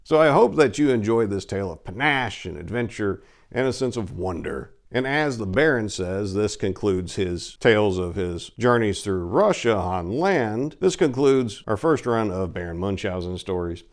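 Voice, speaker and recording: Low-voiced man, casual story host. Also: lively intonation, normal speed, few pauses. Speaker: host and reader of a story channel. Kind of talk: casual, enthusiastic commentary to listeners.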